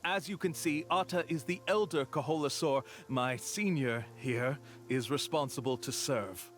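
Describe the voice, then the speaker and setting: An actor playing a polite, reassuring tour guide in a video game, with an earnest sales patter. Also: male voice, a little high, a little slow, fairly bright tone, faint music behind. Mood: explanatory, reassuring. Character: smooth-talking male tour guide, polite, reassuring con artist.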